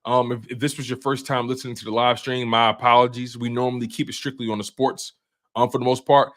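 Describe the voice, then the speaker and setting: A man in his twenties hosting a sports show live stream, chatting casually with viewers in a relaxed, slangy way, often repeating himself and restarting sentences. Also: medium pitch, fast. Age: 20s.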